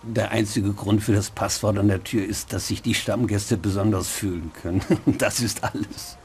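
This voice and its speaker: An actor playing a smug, worldly nightclub owner in a dubbed detective game, with a condescending, drawling manner. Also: male voice, on the low side, steady tone, moderate pace, a little hiss or hum, lightly acted. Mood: mocking, worldly.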